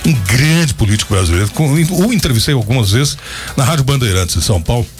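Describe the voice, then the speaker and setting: A Brazilian man hosts a radio show with a very animated, swinging delivery. He chats in a colloquial, anecdotal way and slips into live ad reads. Music plays behind him. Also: medium pitch, a little fast. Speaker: radio host. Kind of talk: casual chat with live ad reads. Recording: radio talk over background music.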